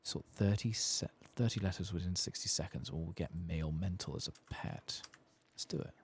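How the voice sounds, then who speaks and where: A soft-spoken man giving low-key ASMR commentary as he plays a game, muttering to himself. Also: normal speed, husky. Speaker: gaming video host.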